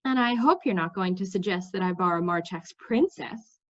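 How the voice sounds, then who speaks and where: A woman's voice: lowish voice, normal speed, natural voice. A woman voicing a practical, determined young woman from a storybook in a matter-of-fact manner. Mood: brisk, determined. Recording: slightly dull, lo-fi sound.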